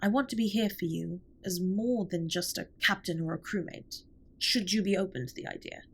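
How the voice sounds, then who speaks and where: A woman playing a formal, earnest, caring alien spaceship captain in a scripted comfort roleplay, speaking softly and breathily with measured, precise wording. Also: on the low side, slightly rough. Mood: resolute, caring. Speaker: audio roleplay performer. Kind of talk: scripted comfort roleplay, intimate one-sided dialogue.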